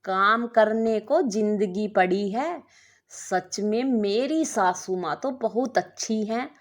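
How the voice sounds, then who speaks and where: A woman storyteller voicing a modest, contented young working wife, speaking gently and earnestly. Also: medium pitch, slow pace, lightly acted. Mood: earnest, fond.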